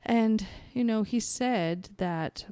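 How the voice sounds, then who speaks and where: A woman's voice: fairly high pitch, slow, mellow, dry recording. A woman podcast host talking in a casual, rambling spiritual monologue, conversational and thinking aloud as she searches for her words.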